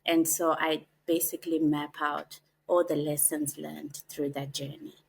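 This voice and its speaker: A woman, a podcast guest telling her life story in a reflective, unhurried way with frequent pauses. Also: deep voice, little pitch movement, vocal fry, a little hiss or hum. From Zimbabwe. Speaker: guest, author and executive coach. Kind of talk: podcast interview, personal storytelling.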